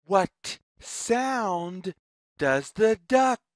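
A storyteller reading a children's read-along story in deliberate, sing-song phrases with pauses. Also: medium pitch, sing-song, no room sound, studio quality. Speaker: read-aloud narrator.

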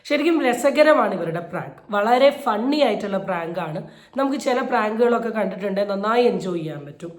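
A woman delivers opinion commentary in an indignant, emphatic way. She repeats phrases for stress, her mid-pitched voice ringing in a roomy, echoey space. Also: animated, quick pace. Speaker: YouTube commentator. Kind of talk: opinion commentary on a viral video.

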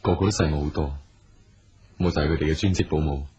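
An actor playing a patient, persistent businessman in a radio drama, speaking calmly and earnestly. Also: rumbling low, fast talker, slightly rough, no echo, lo-fi sound, heavily acted. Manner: calm, earnest and probing.

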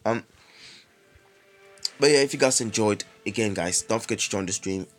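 A young British man with a lively voice commentating his mobile game live, chatty with sudden excited outbursts, saying he is ill. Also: on the low side, moderate pace, soft background music. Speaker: streamer.

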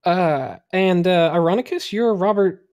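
A lively voice in a middle register, with plenty of pitch movement. The panelist casually reads posts and banters on a comedy podcast. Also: measured pace.

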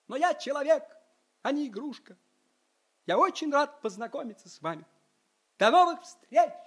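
A man's voice: very high-pitched, a bit bright, heavily acted. An actor playing a tiny, proud human performer among giants in a radio play, declaiming like a showman. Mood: proud, gracious. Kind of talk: Soviet radio play acting.

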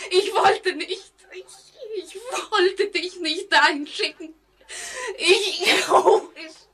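An actress playing a terrified, guilt-ridden woman in an old radio play, speaking haltingly with melodramatic anguish. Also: very high voice, pushed and bright, full character voice. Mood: hysterical. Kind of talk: 1940s German radio play acting. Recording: noisy old 1949 radio recording.

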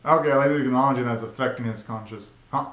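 A man's voice: medium pitch, fast talker, fairly bright tone. A young man giving casual, slangy and exclamatory reaction commentary as a video host, recorded in a small bedroom. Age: young adult. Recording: roomy, echoey bedroom sound.

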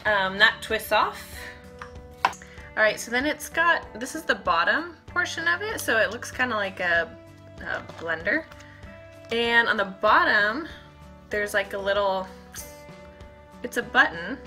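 A woman in her thirties with a bright, forward voice at a middling pitch. She chats informally to camera like a vlogger in her own kitchen, thinking aloud as she shows things. Soft music sits faintly behind her. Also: animated, unhurried, pauses often. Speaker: fitness vlogger. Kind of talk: casual chat to camera, product demonstration. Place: home kitchen, indoors.

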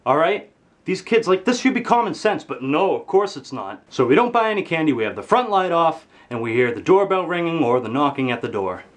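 A man's voice: big pitch swings, a little fast. A teenage boy ranting to his webcam in his bedroom, with comedic, exaggerated anger and lots of swearing. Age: teens. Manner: exaggerated angry ranting, swearing, pitch jumping high when outraged. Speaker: vlogger. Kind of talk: comedic rant to camera. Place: bedroom, indoors.

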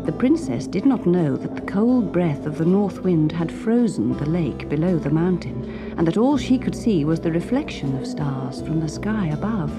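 A woman with a British accent reading a children's story as an expressive narrator. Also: on the low side, moderate pace, over loud music.

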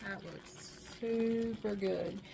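A woman's voice: mid-range voice, steady tone, takes it slowly, gravelly, dark tone. A woman chatting casually as she cooks at her home stove, thinking aloud and poking fun at herself. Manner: chatty, self-deprecating, thinking aloud while cooking. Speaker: home cooking vlogger. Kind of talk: casual cook-along narration. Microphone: camera overhead, speaker partly off frame. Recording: noisy home kitchen recording.

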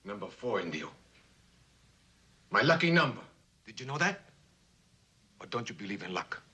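An actor playing a cocky, taunting gunslinger bandit with a theatrical, goading manner in an old television western. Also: male voice, lowish voice, very animated, normal speed, bright, forceful tone, breathy edge, heavily acted. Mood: boastful.

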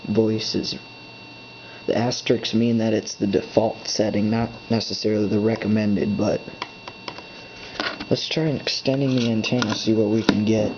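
A man's voice: lowish voice, level tone, moderate pace. A man thinking aloud as he sets up a device at his desk, muttering to himself while pressing buttons. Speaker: hobbyist setting up a device. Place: indoors at a desk. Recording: dull lo-fi camera audio with faint hum.

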